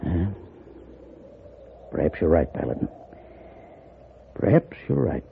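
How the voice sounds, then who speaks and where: A man's voice: low voice, big pitch swings, slow pace, vocal fry, full character voice. An actor playing a proud, agitated older father out for vengeance, insistent and melodramatic in a 1950s radio western. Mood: subdued. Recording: noisy, echoey narrow-band old radio recording.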